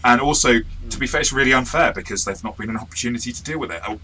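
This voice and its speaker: A man's voice: medium pitch, racing, sharp tone, a little hiss or hum, rough recording. A man in a casual review chat as host and game master, explaining things in an informal, discursive way.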